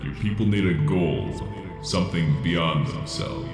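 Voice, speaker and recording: A man's voice: low-pitched, unhurried. A man playing an ancient, arrogant machine construct who speaks formally and pompously in a sci-fi drama. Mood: grave, portentous. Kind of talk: dramatic sci-fi audio acting. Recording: echoing voice under prominent music.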